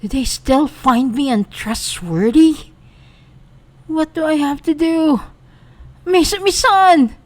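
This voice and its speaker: A woman's voice: high-pitched, takes it slowly, pauses often, voice pushed up. A woman voicing a worried, insecure young woman who frets and calls out for her friends. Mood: anxious. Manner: fretful, building to calling out.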